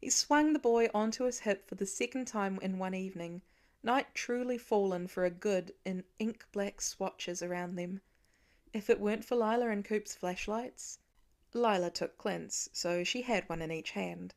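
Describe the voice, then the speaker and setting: A woman narrates fiction in a medium-pitched voice. Her delivery is expressive scripted storytelling, in the manner of an audiobook reader. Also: moderate pace.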